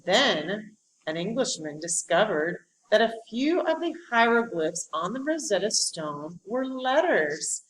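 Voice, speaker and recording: A woman reads a children's history storybook aloud in a medium-pitched voice. Her delivery is unhurried and highly expressive, with deliberate pauses for drama. A light background noise sits behind her. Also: poor audio.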